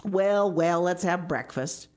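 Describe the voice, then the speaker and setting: A woman voicing a stern, practical older guardian woman in a storybook, brisk and no-nonsense. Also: fairly low pitch, a little mellow. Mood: brisk, disapproving.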